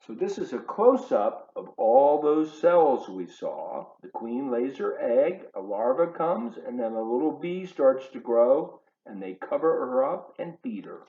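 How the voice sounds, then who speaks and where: Slow-paced man reading to kids, lively pitch. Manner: playful, addresses children directly with questions. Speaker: volunteer children's book reader. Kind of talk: read-aloud of a picture book for young children, with asides. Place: living room at home. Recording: roomy, slightly dull home video sound.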